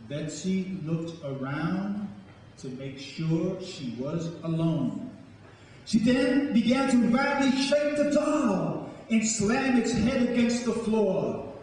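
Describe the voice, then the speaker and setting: Slow, high-voiced male storyteller in echoing hall. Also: lively intonation, a little mellow. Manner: deliberate, dramatic storytelling delivery. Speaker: spoken word poet and storyteller. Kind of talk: dramatic poetry reading and talk to a live audience. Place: large echoing room with a live audience. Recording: echoey hall, distant mic, faint noise.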